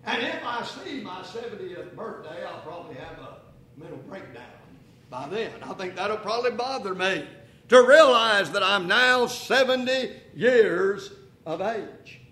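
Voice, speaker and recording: Preacher guy in his 60s, pretty high voice, bright and a bit husky, lots of "think about this". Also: lively intonation, a little hiss or hum. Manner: rhetorical questions, drops to a quiet, slow delivery for emphasis; repeats "if you please" and "think about this". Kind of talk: sermon.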